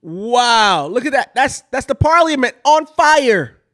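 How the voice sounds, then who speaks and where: A high-voiced woman from Kenya hosts a casual commentary show. She talks emphatically, repeating short phrases to make her point. The sound is dry and close. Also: very animated, takes it slowly, no room sound. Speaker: show host.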